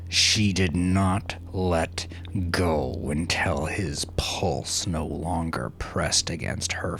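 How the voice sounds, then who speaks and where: A man narrating a dark story like an audiobook, intense and theatrical, swinging between hushed phrase endings and forceful peaks. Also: low-pitched, vocal fry, a little hiss or hum. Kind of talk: dramatic scripted audiobook narration.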